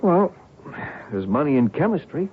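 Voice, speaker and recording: A modest, easygoing college athlete in a radio drama. He talks casually with lively swings of pitch, on a thin recording with faint noise. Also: normal speed, phone-quality sound. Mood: amused, modest. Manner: casual, self-deprecating.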